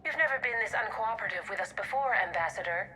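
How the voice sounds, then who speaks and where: A woman's voice: medium pitch, animated, fast talker, sharp tone, faint music behind. An actress playing a cool female covert operative who makes polished, veiled threats in a game cutscene. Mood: coolly menacing. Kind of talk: video game cutscene acting.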